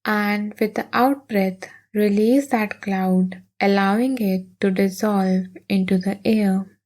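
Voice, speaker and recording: A woman leading a guided meditation in a soothing, gentle, unhurried manner with long pauses. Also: medium pitch, fairly even intonation.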